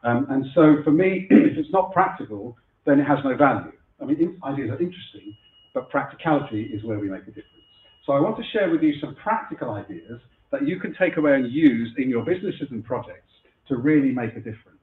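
Middle-aged male keynote speaker, husky from a cold, fillers and restarts; tinny, roomy lecture hall sound. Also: medium pitch, animated, fast. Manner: chatty, self-deprecating, frequent fillers and restarts. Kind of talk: conference keynote talk. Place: lecture room before a live audience. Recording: echoey room over a phone-like line.

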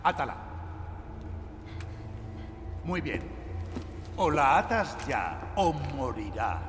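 An actor playing a cruel, commanding middle-aged police chief and kidnapper in a video game. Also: male voice, mid-range voice, very animated, very slow, a little hiss or hum, full character voice. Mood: threatening, commanding. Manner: commanding, threatening, condescending. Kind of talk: dramatic video game acting. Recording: reverberant game audio under loud music.

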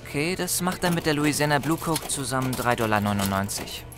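A man's voice: medium pitch, animated, brisk, over music, close to an everyday voice. An actor playing a sceptical young male shop clerk in an audio drama, casual and dryly sarcastic. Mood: businesslike. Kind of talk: radio play dialogue.

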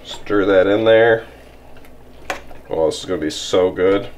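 A man's voice: sits low, unhurried, darkish tone, a little hiss or hum. A young man casually narrating as he cooks in his home kitchen, easygoing and a little rambling, apologizing and restarting as he goes. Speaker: outdoor vlogger cooking camp meals. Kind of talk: casual how-to cooking narration. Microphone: camera filming from above the stove.

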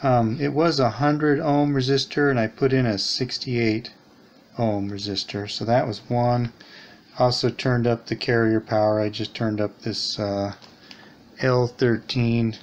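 A man's voice: medium pitch, fairly even intonation, a little slow, slight background noise. A man giving a casual hands-on repair demonstration at a workbench, thinking aloud a little hesitantly. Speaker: electronics repair hobbyist, host. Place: indoors at a workbench.

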